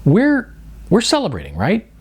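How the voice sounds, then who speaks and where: A hurt young woman from a novel, voiced by a male reader, stammering and echoing words in disbelief. The pitch swings widely and the tone has a husky edge, with bewildered sarcasm throughout. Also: huge pitch swings, moderate pace, full character voice. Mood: puzzled, pleading. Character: confused, hurt young actress being dumped, incredulous and sarcastic.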